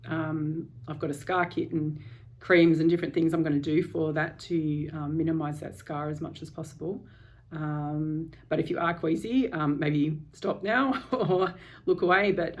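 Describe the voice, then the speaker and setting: A woman talking to camera at home in a casual personal vlog, calm and candid, with frequent pauses and restarts. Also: sits low. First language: English. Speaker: vlogger.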